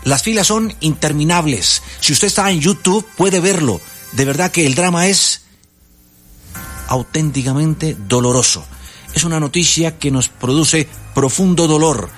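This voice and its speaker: A man with a Colombian Spanish accent anchoring a midday radio news show, lively and personable, with frequent fillers as he talks. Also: fairly high pitch, measured pace, faint music behind. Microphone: studio microphone.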